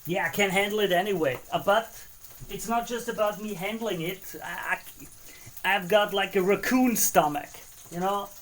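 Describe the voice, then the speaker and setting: A live cooking streamer, talking to viewers in a loose, chatty way at an ordinary middle pitch. The talk drifts easily from remarks about the pot to greeting and answering people in the chat. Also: normal speed, fairly bright tone, low-quality recording. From Austria.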